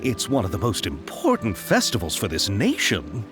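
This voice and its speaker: A man playing an earnest, excitable and courteous filmmaker in a video game, speaking in a wordy, bookish, theatrical way. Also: fairly low pitch, moderate pace, music in the background. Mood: eager, bookish. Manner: polite, wordy, theatrical, hesitant when flustered. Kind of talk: animated game dialogue.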